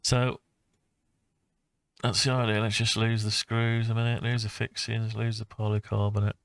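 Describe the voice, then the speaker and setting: A man talking through his own design project informally, thinking aloud with hesitant, halting phrases. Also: fairly low pitch, level tone, gravelly, fairly bright tone, clear, resonant tone, dry recording. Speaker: hobbyist maker presenting own design project. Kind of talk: informal screen-recorded CAD design walkthrough.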